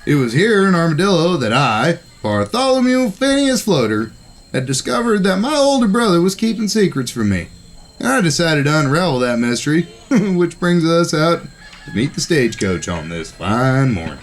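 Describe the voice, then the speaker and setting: A man playing an excitable, mischievous younger cowboy brother in a Western audio drama, with a folksy drawl. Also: on the high side, moderate pace. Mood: folksy storytelling. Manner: folksy drawl with old West grammar.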